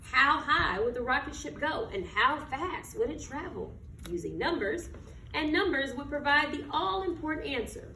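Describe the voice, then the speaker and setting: Very bright, animated woman reading aloud, echoey. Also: sits high, moderate pace, gravelly. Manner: emphatic, dramatic phrasing with questions to listeners. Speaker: read-aloud storyteller. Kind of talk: expressive children's picture book read-aloud. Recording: roomy echo, distant mic, background noise.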